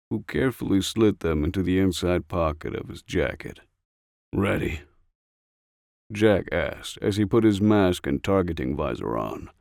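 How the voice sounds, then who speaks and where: A man's voice: low voice, gravelly, a little mellow, no room sound, clean studio sound. A man reading a short story as a scripted audiobook narration.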